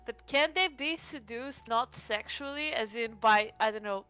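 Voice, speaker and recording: A woman's voice: on the high side, unhurried, sharp tone, clear, resonant tone. A woman playing a tabletop roleplaying game, asking questions and floating plans in a tentative, casual way. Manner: tentative, inquisitive.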